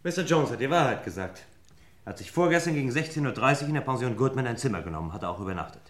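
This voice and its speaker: A man's voice: mid-range voice, expressive, rapid-fire, roomy sound, natural voice. A man playing a dutiful, matter-of-fact police assistant in a mid-century German radio crime drama. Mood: brisk, dutiful. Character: brisk, dutiful male police assistant reporting.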